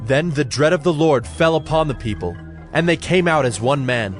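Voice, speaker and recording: A man narrating scripture from a script as a solemn, measured storyteller. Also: fairly high pitch, expressive, faint music behind.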